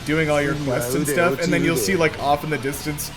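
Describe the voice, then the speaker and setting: A man co-hosting a casual movie reaction, explaining game lore in an animated way with plenty of swearing. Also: fairly high pitch, a little fast, over loud music. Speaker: movie reaction show co-host.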